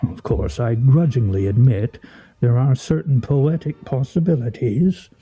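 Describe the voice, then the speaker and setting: An actor playing a pedantic, grumbling husband in a comedic radio play, with pompous, wordy, fussily articulate delivery. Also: male voice, medium pitch, measured pace, warm tone, husky, dull audio, soft background music.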